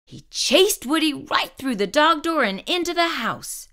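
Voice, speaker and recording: A woman reads a children's picture book aloud. Her voice is fairly high and bright, with lively rises and falls. She phrases carefully and expressively, as a storyteller for young listeners. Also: measured pace.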